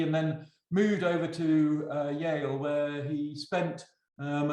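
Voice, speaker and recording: Halting man in a roomy, echoing space. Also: fairly high pitch. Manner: halting, hesitant delivery. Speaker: webinar host introducing a speaker. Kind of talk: informal spoken introduction. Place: indoor room on online call. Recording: roomy, echoing webinar call.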